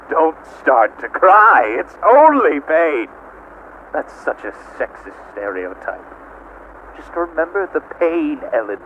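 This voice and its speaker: A man playing a sadistic, hate-consumed supercomputer that mocks like a cruel god, in a theatrical, menacing monologue with drawn-out words, as an amateur voice acting reading. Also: on the high side, gravelly, voice pushed down. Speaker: amateur voice actor. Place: at home. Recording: dull lo-fi home recording, faint noise.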